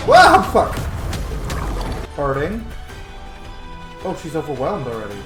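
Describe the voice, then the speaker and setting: A man in his thirties giving casual, sweary let's-play commentary in an animated way. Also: a little high, slow pace. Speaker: gaming streamer. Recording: roomy, game music behind. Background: game music.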